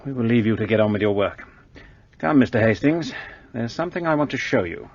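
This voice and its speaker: An actor playing a brusque, arrogant police detective in a radio play, speaking in a curt, commanding way. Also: male voice, mid-range voice, expressive, fairly quick, darkish tone, highs cut off, a little hiss or hum, lightly acted.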